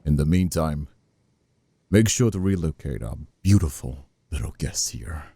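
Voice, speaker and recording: A man voicing a smug, menacing, vengeful mob boss in an ASMR audio roleplay, with a slow, mocking, theatrical drawl and a gravelly, husky voice. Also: animated, dry recording, heavily acted. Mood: annoyed, commanding. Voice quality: very deep, gravelly, dark, husky.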